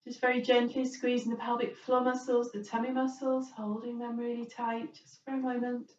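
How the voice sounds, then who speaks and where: A woman teaching yoga relaxation, guiding the listener in a gentle, reassuring and permissive way. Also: fairly high pitch, level tone, normal speed. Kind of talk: guided relaxation instruction. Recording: roomy, distant, dull, faint noise.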